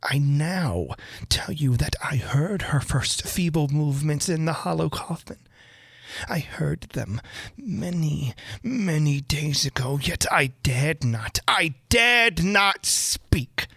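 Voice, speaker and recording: Tormented, slow-gibbering gothic madman. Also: expressive, vocal fry, a bit bright, breathy edge, no echo, studio quality, full character voice. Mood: haunted, gleeful dread. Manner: gibbering low murmurs breaking into shrieks. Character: tormented madman, terrified, hysterical, guilt-ridden aristocrat. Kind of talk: dramatic gothic audiobook character.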